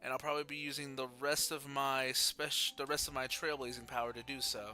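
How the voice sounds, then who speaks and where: A man's voice: mid-range voice, level tone, brisk, bright, forceful tone, faint music behind. A man hosting a casual game livestream, chatting and reading story text aloud. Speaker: video game streamer.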